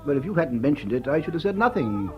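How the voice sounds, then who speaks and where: An actor playing a stiff, shy, earnest lord in a period comedy, formal and haltingly awkward in speech. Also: male voice, on the high side, sing-song, highs cut off, faint music behind. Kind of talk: period stage comedy radio drama.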